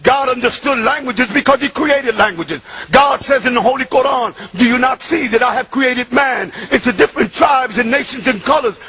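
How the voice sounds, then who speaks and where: A man, preaching an impassioned sermon that swings from loud shouting to hushed murmurs. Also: extremely high pitch, breathy edge, low-quality recording. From Bronx, New York.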